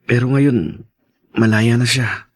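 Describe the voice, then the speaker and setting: A man playing a guilty, nervous young husband in a radio drama, stammering hesitantly in a husky voice. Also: medium pitch, takes it slowly. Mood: guilty, confessing. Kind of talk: Tagalog radio drama acting.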